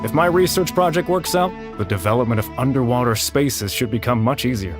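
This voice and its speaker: Man as game scientist, mid-pitched voice, formal, aloof, dismissive, music underneath. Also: a little fast, close to an everyday voice. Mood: formal, hopeful. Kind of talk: video game voice acting.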